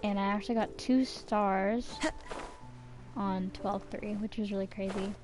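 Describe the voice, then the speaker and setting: A woman's voice: medium pitch, fairly even intonation, unhurried, music in the background. A woman streaming a game, giving casual, slangy commentary in short, informal reactions. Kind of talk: casual live commentary while gaming.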